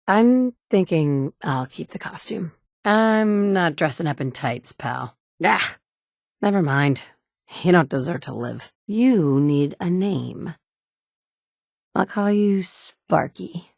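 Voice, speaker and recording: A tough young mom in a wasteland game, blunt and snarky, lowish voice, dead dry studio sound. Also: female voice, expressive, slow pace, creaky, a little mellow, dry recording, exaggerated voice. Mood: sardonic. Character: determined young mother searching wasteland for kidnapped son. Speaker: player character voice actress. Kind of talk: video game voice lines. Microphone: studio mic.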